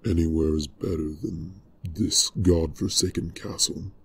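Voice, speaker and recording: A man doing a low, brooding dragon. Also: steady tone, measured pace, a little hiss or hum. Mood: resolute. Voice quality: low, hushed, gravelly. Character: huge brooding male dragon, protective.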